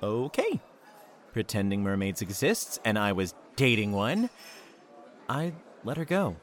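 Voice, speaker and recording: A man's voice: fairly low pitch, sing-song, unhurried. A man playing an awkward, skeptical young boyfriend in an audio drama, speaking in a hesitant, dry, blunt way. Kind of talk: naturalistic audio drama acting. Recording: noticeable background ambience noise.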